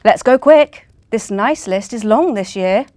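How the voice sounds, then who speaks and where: A woman voicing a jolly, brisk old male Santa, heartily urging on the team. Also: mid-range voice, expressive, a little mellow, dry recording, close to an everyday voice.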